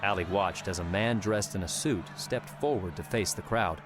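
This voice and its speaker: A man narrating an audiobook in a measured, dramatic storytelling manner. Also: deep voice, noisy background. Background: background music.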